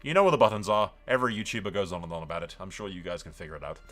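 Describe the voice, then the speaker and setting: A man's voice: low-pitched, racing, a bit bright, faint music behind. A man giving casual, sweary gaming commentary as host, with a wry, joking and informal manner. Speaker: video game streamer, host.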